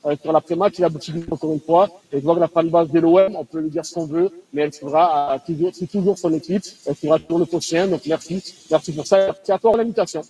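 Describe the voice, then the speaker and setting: Fast, husky man heard from afar. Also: a little high, steady tone, warm tone, recorded from a distance, poor audio. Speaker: guest pundit. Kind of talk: casual sign-off chat.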